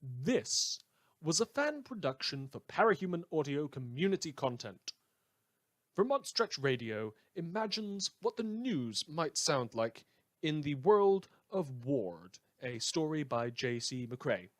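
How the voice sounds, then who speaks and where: A man's voice: on the high side, big pitch swings, measured pace, no echo. A man delivering scripted narration as the announcer of a fan audio production.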